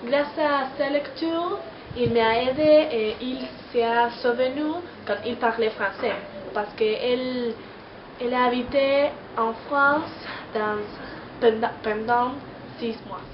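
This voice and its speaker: Slow, hesitant woman in a noisy room. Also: fairly high pitch. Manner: hesitant, halting delivery. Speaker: student of French. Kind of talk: prepared personal testimony in French as a learner. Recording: muffled, echoey, noisy amateur recording.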